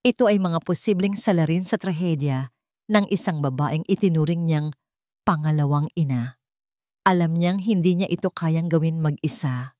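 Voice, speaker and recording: A woman narrates a scripted drama in a low voice. The recording is dry and close, with no sense of a room around her. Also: a little mellow, no echo.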